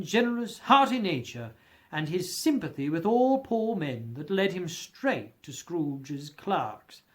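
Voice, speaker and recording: A man narrating a classic story from a script in a theatrical, expressive storytelling manner. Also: sits high. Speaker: audiobook narrator.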